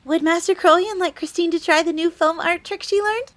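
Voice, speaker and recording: A woman's voice: very high voice, fairly quick. A woman playing a bubbly, devoted fox maid with a fierce streak, eagerly deferential and speaking of herself in the third person in an audio roleplay. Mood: cheerful, doting. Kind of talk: dramatic audio roleplay storytelling.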